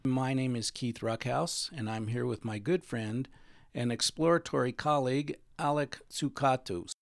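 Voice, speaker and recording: A man with a creaky voice hosting, giving measured introductions and asking interview questions. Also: medium pitch, no echo, studio quality. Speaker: host, interviewer.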